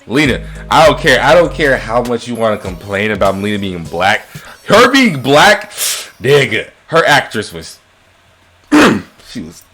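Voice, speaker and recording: A man's voice: medium pitch, sing-song, a little slow, pushed and bright, husky, soft background music. A man chatting casually and profanely as a podcast co-host, rambling and repeating his phrases. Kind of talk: casual, profane chat about movies.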